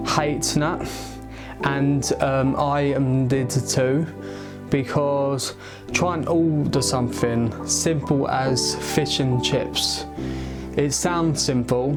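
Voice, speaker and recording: Young man, stammer, slow halting delivery, guitar music behind. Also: mid-range voice. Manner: stammering, halting with many pauses and restarts. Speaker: vlogger. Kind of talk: personal story to camera.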